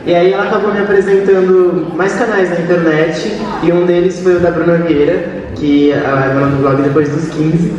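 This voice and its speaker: A young man with a fairly high voice speaks in a relaxed, slightly hesitant way, stopping and starting as he goes. He is on a stage with a handheld mic. The recording is distant and echoey, with a crowd audible in the hall. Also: normal speed, few pauses, dull audio. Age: young adult. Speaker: youtuber, channel host. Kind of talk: casual talk on a panel. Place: large hall stage, seated on a couch.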